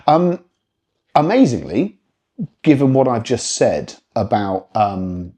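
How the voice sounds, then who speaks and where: A man's voice: mid-range voice, big pitch swings, slow, warm tone. A man presenting an informal product review, speaking in a wry, dryly humorous and opinionated way.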